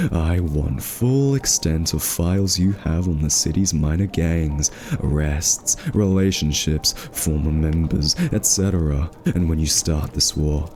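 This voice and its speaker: A man's voice: low-pitched, a little mellow, a little hiss or hum. A male voice actor playing a smug, flirty thief in an ASMR roleplay, teasing and drawling with suggestive innuendo. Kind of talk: ASMR roleplay, scripted character acting.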